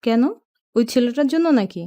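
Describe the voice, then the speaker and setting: Audio drama mother: actress as irritated, forbidding middle-aged woman, fairly high but mellow-toned. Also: expressive, moderate pace, dull audio, close to an everyday voice. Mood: angry, stern. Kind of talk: audio story character acting.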